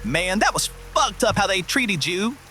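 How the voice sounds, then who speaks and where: A man's voice: sits high, unhurried, over music, voice pushed up. A man voicing an eager, starstruck young male wrestling fan with a fawning manner in an audio drama. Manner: excitable, fawning. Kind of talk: noir audio drama acting.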